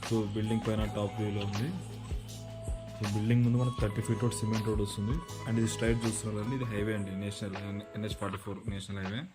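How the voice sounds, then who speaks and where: A man's voice: on the low side, level tone, racing, over music. A man from southern India with a Telugu accent, presenting a house walkthrough as a property agent in a matter-of-fact, explanatory way. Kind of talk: walkthrough narration of a house tour.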